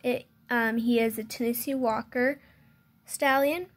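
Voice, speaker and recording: A woman's voice: on the high side, steady tone, a little slow. A young woman or girl filming on a handheld phone indoors, giving an unscripted show-and-tell tour of a toy farm, hesitant, with many ums and self-corrections. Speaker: channel host giving a toy barn tour. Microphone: handheld phone filming close.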